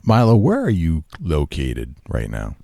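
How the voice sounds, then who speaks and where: A man's voice: rumbling low, wildly swooping pitch, measured pace, vocal fry, mellow, no room sound, clean studio sound. A man hosting a podcast in a chatty, eager way, agreeing often with his guest. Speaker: podcast host. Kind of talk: casual podcast interview.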